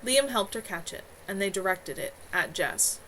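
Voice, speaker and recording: A woman's voice: medium pitch, expressive, moderate pace, sharp tone, slight background noise, rough recording. Woman reading her novel aloud. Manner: earnest, a few stumbles. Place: at home.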